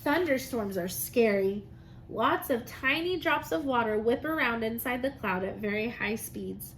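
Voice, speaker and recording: A woman's voice: fairly high pitch, animated, measured pace, fairly bright tone, low-quality recording. A woman teacher reading aloud and explaining science to young children in simple, encouraging phrases. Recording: noticeable background noise.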